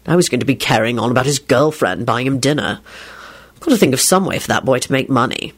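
A weary middle-aged man in an audiobook, acted out by a woman, lowish in pitch. He is wry and kindly, muttering with a melancholy edge. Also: sing-song, normal speed, slightly rough, heavily acted. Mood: grumbling.

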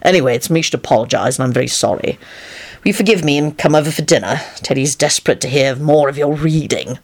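Woman doing a polite sorry-sounding guy, quiet and low-key, storybook. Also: expressive, quick pace. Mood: apologetic. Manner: polite, apologetic. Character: polite, apologetic young man.

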